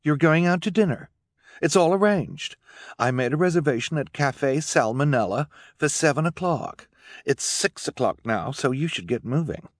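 A man voicing a haughty, gushing, fashion-obsessed rich woman for an audiobook, with affected emphasis on drawn-out words. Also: fairly high pitch, lively intonation, no room sound, clean studio sound, voice pushed up. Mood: brisk, dismissive. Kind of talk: audiobook character voice.

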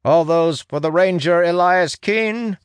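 A man's voice: fairly high pitch, animated, a little slow, dry recording, clean studio sound, pitched above the performer's own voice. A man voicing a formal scribe who proclaims a vote to a gathering in a declamatory, projected voice, in a fantasy audiobook. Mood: formal, proclaiming.

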